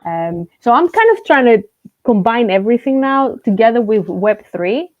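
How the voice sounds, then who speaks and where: A woman's voice: a little high, big pitch swings, moderate pace, no room sound, phone-quality sound. A woman from Greece, a journalist and content creator, chatting casually as a guest with many fillers and restarts. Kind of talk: casual interview chat.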